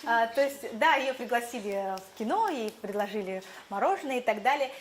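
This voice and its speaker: A woman's voice: on the high side, lively intonation, brisk, a little hiss or hum. A young woman whose first language is Buryat, a teacher answering jury questions thoughtfully with fillers and restarts. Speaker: teacher competition contestant.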